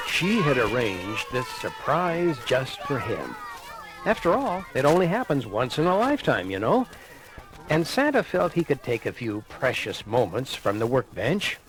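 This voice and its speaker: A man narrating a children's Christmas read-along record in an animated, conversational way, addressing the listener with dramatic pauses, on a vintage 1980 vinyl recording. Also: mid-range voice, poor audio. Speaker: storyteller. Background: music.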